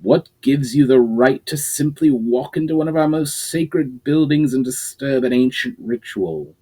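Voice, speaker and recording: A man voicing an arrogant male Aizen warrior with a deep, melodious voice, speaking in a haughty, scolding way in a dramatic story reading. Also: a little slow, dark tone, breathy edge. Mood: scornful.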